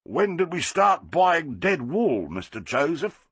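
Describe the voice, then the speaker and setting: A male reader voicing a gruff working-class storeman. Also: fairly high pitch, very animated, takes it slowly, a bit bright, no echo, pitched above the performer's own voice, heavily acted. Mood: insistent.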